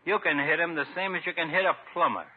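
Guy doing a cocky folksy barber, slangy bad grammar, highish voice, old muffled radio sitcom. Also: fast, slight background noise, natural voice. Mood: egging on. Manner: slangy, ungrammatical, wisecracking. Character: cocky, folksy small-town barber, scrappy and loyal. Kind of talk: 1950s radio sitcom acting. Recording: muffled narrow-band 1950s radio recording.

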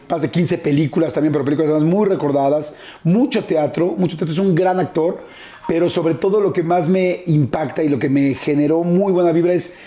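Male interview host, Mexican accent, fairly high voice, chummy and conversational, recorded in an echoey room. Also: warm tone. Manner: familiar, chummy address. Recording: roomy echo.

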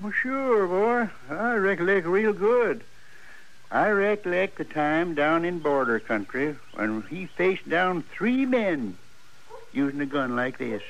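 A man's voice: high voice, sing-song, takes it slowly, a bit bright, highs cut off, heavily acted. An actor playing a talkative, nostalgic old Western man who rambles in folksy frontier speech in a radio drama. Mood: animated, boastful. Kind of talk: 1950s radio western acting. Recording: noisy old radio recording.

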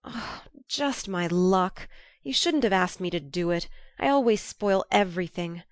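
A woman voicing a blunt, hearty tomboy teenage girl in an audiobook, brisk and forthright. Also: on the low side, expressive, no room sound, clean studio sound. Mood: remorseful. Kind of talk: audiobook character voice.

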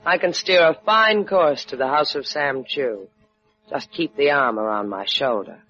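A woman's voice: a bass voice, measured pace, music in the background. A woman playing a wry, devoted young adventuress in a 1950s radio drama, with a teasing, laconic way of talking. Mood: concerned, devoted. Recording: dull old radio recording.

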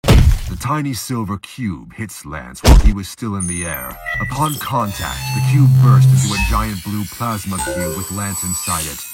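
Deep-voiced man narrating an audio drama.

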